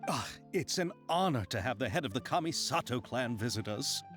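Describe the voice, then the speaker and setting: Man playing an anxious, animated film director. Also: mid-range voice, fairly quick, natural voice. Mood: honored. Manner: hesitant, apologetic, earnest. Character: anxious, polite, idealistic male film director. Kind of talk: video game voice acting. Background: game music.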